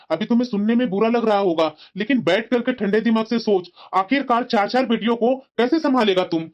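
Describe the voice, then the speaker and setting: A man voicing a meddling, callous friend who persuades insistently and familiarly in a cartoon moral drama, on a muffled recording. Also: very high voice. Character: meddling, pushy male friend giving callous advice. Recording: dull, muffled lo-fi sound.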